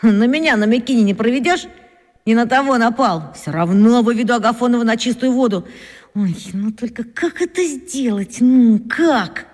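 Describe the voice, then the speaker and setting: A woman's voice: on the high side, animated, moderate pace, darkish tone. An actress playing a self-important schoolboy detective, muttering his schemes to himself in bursts, in a children's radio play. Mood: scheming. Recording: long reverb, as inner thoughts.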